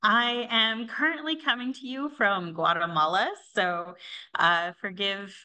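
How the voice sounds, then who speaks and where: Woman, 30s, bright edgy voice, kinda nervous but friendly. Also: medium pitch, steady tone, unhurried. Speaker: research director. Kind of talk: podcast interview.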